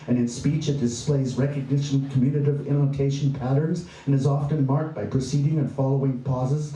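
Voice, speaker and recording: A man performing his spoken word poetry live, with a playful, wry and bawdy delivery, jumping in pitch and stretching out words. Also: mellow, slight background noise. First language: English. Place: performance venue. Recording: echoey room, voice from far off, faint ambience.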